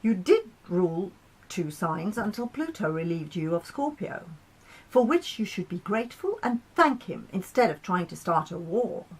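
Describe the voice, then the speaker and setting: A stern, authoritative male planet from a storybook, voiced by a woman, lecturing the others with measured patience. The delivery is insistent and reasoned, with a husky edge. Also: medium pitch, very animated, rough recording. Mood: stern, placating.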